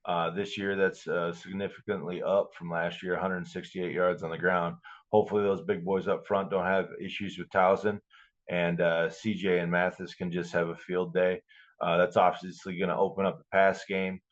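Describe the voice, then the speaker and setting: Low male voice, slightly muffled recording. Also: flat delivery. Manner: blunt, halting, repeats himself. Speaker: football podcast co-host. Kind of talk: casual sports chat.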